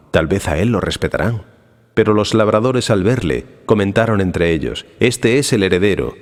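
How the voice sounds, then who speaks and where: Guy acting as a deep solemn holy teacher in a bible audio drama, slow-weighted authority, long echoing reverb. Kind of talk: dramatized scripture reading. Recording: long reverberant hall echo.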